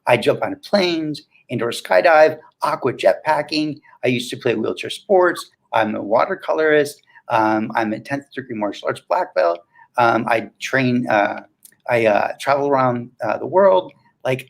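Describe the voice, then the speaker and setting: A man's voice: mid-range voice, animated, moderate pace, gravelly. A man in his fifties speaking as a coach and interview guest, casual and motivational, often posing questions and answering them himself. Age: 50s.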